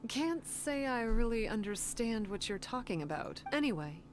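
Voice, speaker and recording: A woman playing a courteous, guarded young shrine maiden in a video game, speaking in a measured way. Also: fairly high pitch, very animated, faint music behind. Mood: polite, composed. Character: reserved, courteous masked young shrine maiden, gently secretive. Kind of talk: video game dialogue acting.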